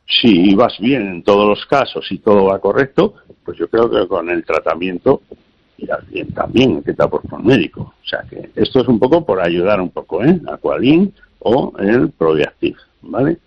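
A man's voice: on the low side, animated, moderate pace, slightly rough, muffled recording. A man giving health advice on a call-in radio show, hesitant and repeating small words as he explains.

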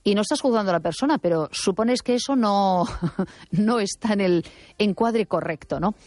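A woman's voice: mid-range voice, normal speed, darkish tone, no echo. This is a woman who talks in an assertive, opinionated way. She argues her points firmly and corrects the other speaker. Her voice is recorded very dry, with no room sound. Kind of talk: opinionated radio talk.

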